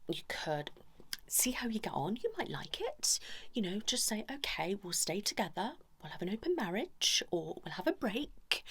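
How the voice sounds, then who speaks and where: A woman speaking softly and often whispering in an ASMR role-play as a chatty couples therapist, gently scolding. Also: lowish voice. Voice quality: soft, breathy, often whispered. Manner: chatty, mock-concerned, gently scolding, hushed. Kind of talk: ASMR role-play, one-sided improvised conversation.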